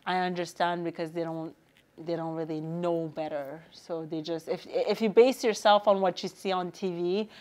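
A woman's voice: fairly low pitch, fairly even intonation, normal speed, darkish tone. A young woman from Canada, a guest artist chatting in an interview in her studio, laughing easily and repeating words as she starts. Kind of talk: casual interview chat. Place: art studio indoors.

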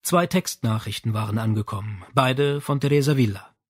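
A man's voice: mid-range voice, normal speed, husky, no room sound, studio quality. A man reading a novel aloud as scripted audiobook narration.

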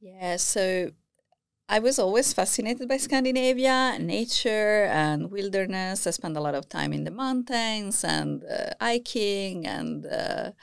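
A woman's voice: medium pitch, measured pace, creaky, clear, resonant tone, no echo, clean studio sound. A woman from northern Italy with an Italian accent, a guest telling her story in a casual interview, hesitant with many fillers. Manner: hesitant, many fillers and restarts, laughs easily. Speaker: guest, scientist and co-founder.

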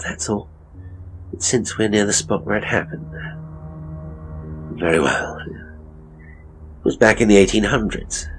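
A man's voice: fairly low pitch, noisy background. A man playing a hapless, pompous middle-aged ghost tour operator in a horror audio drama, with a British accent and a grumbling, theatrical, wordy delivery. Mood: eerie storytelling. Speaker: ghost tour guide and storyteller.

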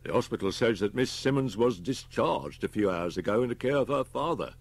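A man's voice: medium pitch, animated, fairly quick, creaky, voice pushed up. A man playing a dutiful young British detective. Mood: factual. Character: young male detective inspector, dutifully reporting. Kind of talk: audiobook character voice.

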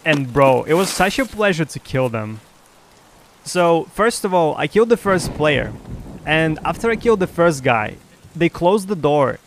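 A man's voice: a little high, measured pace. Young male streamer, Russian first language, boastful and chatty with his viewers, headset mic, light background noise. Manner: excited, boastful, talks to chat. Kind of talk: live gameplay commentary.

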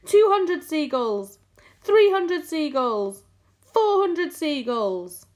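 A woman's voice: very high voice, sing-song, unhurried, voice pushed up, exaggerated voice. A woman voicing a brave young boy in a children's storybook, giving urgent commands. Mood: shouted excitement. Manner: urgent commands, shouted or hushed.

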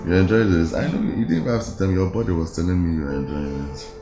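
Smug Nigerian ladies' man, low voice, boastful, intimate drama chat, echoey room, music behind. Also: big pitch swings, racing, gravelly, heavily acted. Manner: boastful, keeps seeking agreement. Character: cocky, boastful philandering lover, self-satisfied and smooth-talking.

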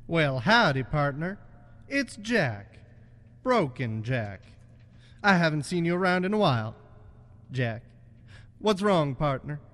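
Man playing a bullying, slow-drawling toy cowboy. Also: on the high side, very animated, fairly bright tone, exaggerated voice. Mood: mock friendly. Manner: taunting, folksy cowboy talk. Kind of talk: student radio play acting. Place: college radio studio, live broadcast.

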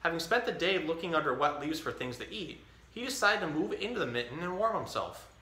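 A man in his thirties hosting a storytime, reading a children's picture book aloud with expressive, clearly enunciated delivery. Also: on the high side, brisk. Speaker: storytime host. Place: indoor tiled-floor room. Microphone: camera a few feet away. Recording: echoey room.

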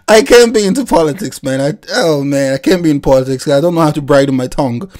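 A man's voice: on the high side, moderate pace, darkish tone. A man hosting a reaction video, mocking what he watches with frequent laughs and exclamations into a desk microphone. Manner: laughs often, exclaims, rants.

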